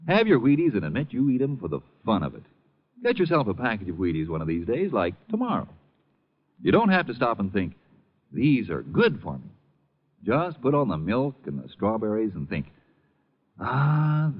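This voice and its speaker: A man giving a folksy, conversational 1950 radio advertisement in a chatty, easygoing, confiding way. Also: lowish voice. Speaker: radio commercial spokesman. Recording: dull old radio recording.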